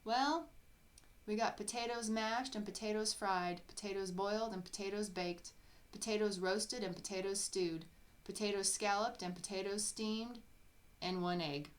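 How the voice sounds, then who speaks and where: A woman's voice: medium pitch, normal speed, close to an everyday voice. A woman voicing a folksy luncheonette owner in a storybook, reciting in a sing-song way. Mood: matter-of-fact.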